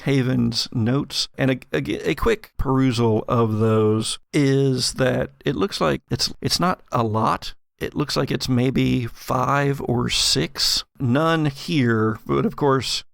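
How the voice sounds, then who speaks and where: A man narrating a tabletop role-playing game as game master, improvising scenes in a halting way as he searches for words. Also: mid-range voice, gravelly, dry recording, clean studio sound.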